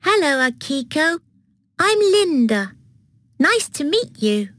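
A friendly little schoolgirl for a textbook recording, high-voiced and bouncing in pitch. She speaks slowly in a dry, close sound. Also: very animated, fairly bright tone, no echo, full character voice. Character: friendly young schoolgirl from England.

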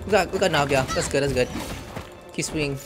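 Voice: mid-range voice, a little fast. A streamer giving casual gameplay commentary, playful and exclamatory, recorded in a room at home. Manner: playful, crude joking, exclamatory. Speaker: gaming streamer. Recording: game music behind.

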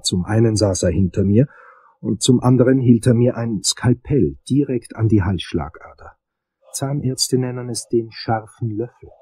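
Husky hard-boiled investigator, played by a man. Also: fairly low pitch, mellow. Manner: dramatic, suspenseful. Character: hard-boiled male investigator telling his dark case. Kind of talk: scripted first-person crime audio drama narration.

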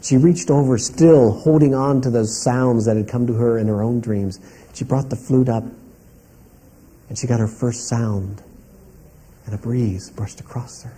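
Mellow-voiced male storyteller. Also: medium pitch, measured pace, slight background noise. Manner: calm, rhythmic "and... and" telling. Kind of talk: oral storytelling.

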